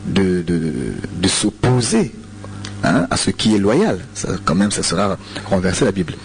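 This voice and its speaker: A man's voice: low-pitched, big pitch swings, normal speed, vocal fry, a little hiss or hum. A man from Congo with a Congolese French accent, a radio preacher answering listener questions, hesitant, with many repeated words and fillers. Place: radio studio.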